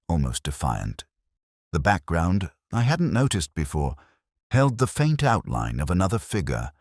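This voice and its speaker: A man plays a brooding male detective narrating a radio mystery. His voice is extremely low, yet it rises and falls expressively. The delivery is literary and reflective, in a dry, close-sounding recording. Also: no echo, full character voice. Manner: brooding, literary, measured storytelling. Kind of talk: scripted first-person mystery narration in radio drama manner.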